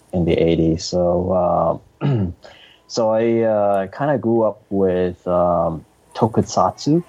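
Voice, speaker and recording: A man's voice: low voice, warm tone, low-quality recording. A man from Hong Kong, a podcast guest in casual chat, talking hesitantly with many fillers and restarts.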